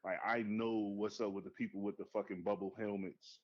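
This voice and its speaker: A man co-hosting a podcast in casual movie chat, animated and profane, jumping to high excited outbursts. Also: fairly quick. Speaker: podcast co-host. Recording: dull lo-fi sound.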